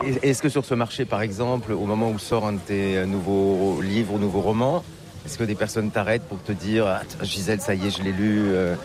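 Un homme mène un entretien et pose des questions longues et reformulées, avec des hésitations. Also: male voice, on the low side, steady tone, a little fast. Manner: wordy, rephrasing questions with fillers. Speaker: interviewer. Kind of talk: casual interview questions. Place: outdoor market. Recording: busy crowd noise outdoors. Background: crowd chatter, passing vehicles.

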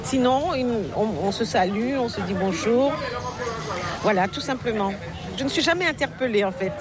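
A middle-aged woman from Paris, a novelist, talks in a thoughtful, halting way with many fillers. She is recorded outdoors at a market, with clear crowd chatter and passing traffic all around. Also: a little high, lively intonation. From Paris, France. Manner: reflective, hesitant with frequent fillers and restarts. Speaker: novelist guest. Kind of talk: casual interview conversation. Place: outdoor market, among people. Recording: busy crowd noise outdoors. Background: crowd chatter, passing vehicles.

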